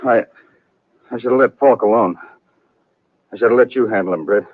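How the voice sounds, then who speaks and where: An actor playing a humbled but stubborn, hot-headed cowboy with a husky voice in a western radio drama. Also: male voice, fairly low pitch, normal speed, no room sound, natural voice. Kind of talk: old-time radio western acting. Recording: dry, phone-like vintage radio recording.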